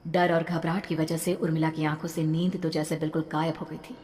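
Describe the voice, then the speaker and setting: A woman's voice: low-pitched, little pitch movement, a little fast, a little mellow. A woman narrating a scripted horror story in a dramatic storytelling manner. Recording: reverberant, with background music.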